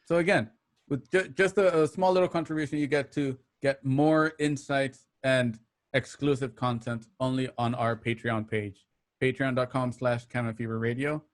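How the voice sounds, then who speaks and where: Man, show host, mid-range voice, casual announcements, halting informal delivery. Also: mid-range voice, fairly even intonation. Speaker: host of an online radio drama show. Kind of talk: casual sign-off announcements to listeners.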